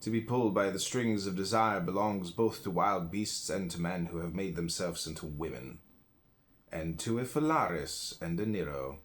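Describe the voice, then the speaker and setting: A man reading an old philosophy book aloud in measured phrases, pausing between them. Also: low-pitched. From United States. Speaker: audiobook reader.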